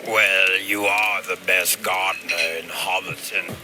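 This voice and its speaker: Casting: male actor as gossipy hobbit local, low voice, slow delivery, audio drama with music. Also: flat delivery. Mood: approving. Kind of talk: dramatized audio play dialogue.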